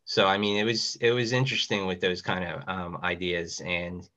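A man's voice: low voice, little pitch movement, moderate pace, bright, forceful tone. A man who is a guest on a panel, chatting casually in a rambling way peppered with "you know". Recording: dull, lo-fi sound.

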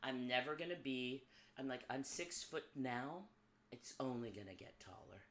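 A woman guest on a podcast, telling animated stories from her life, swearing freely and laughing often. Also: very deep voice, normal speed. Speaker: podcast guest, Broadway performer. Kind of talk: casual storytelling chat. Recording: echoey room with faint background noise.

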